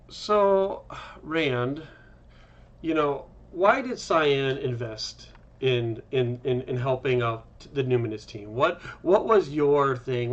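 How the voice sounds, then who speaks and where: A man hosting a casual interview, speaking in an upbeat, informal way. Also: mid-range voice. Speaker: interviewer, host. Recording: slightly dull, lo-fi mic.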